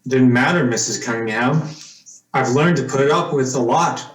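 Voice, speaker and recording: A man's voice: medium pitch, normal speed, close to an everyday voice. A man playing a bitter, wronged young man in an old-time radio drama, with a curt, resentful delivery. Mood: guarded. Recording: roomy, echoing recording.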